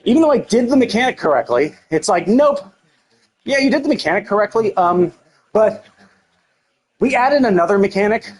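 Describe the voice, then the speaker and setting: A woman's voice: medium pitch, animated, normal speed, pauses often, darkish tone. A woman doing live video game commentary, ranting in an exasperated, heavily sweary way.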